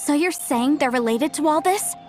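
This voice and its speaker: A woman playing an earnest young archaeologist in a game, with a high voice and an emotional, heartfelt way of speaking. Loud game music plays under the voice. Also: normal speed, natural voice. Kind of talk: game voice acting.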